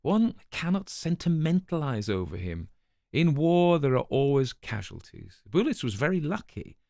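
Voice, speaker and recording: A man's voice: a little high, sing-song, moderate pace, creaky, mellow, no room sound, clean studio sound, voice pushed up. A man voicing a callous, haughty spymaster with formal, precise, disdainful phrasing in a dramatic story reading. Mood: cool, dismissive. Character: callous, haughty male spymaster, coolly dismissive.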